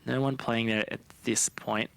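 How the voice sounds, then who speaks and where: A man's voice: medium pitch, little pitch movement, measured pace, creaky, a bit bright, poor audio. A man giving colloquial, conversational esports commentary and analysis of live play. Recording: faint game gunfire behind voice. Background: video game gunfire.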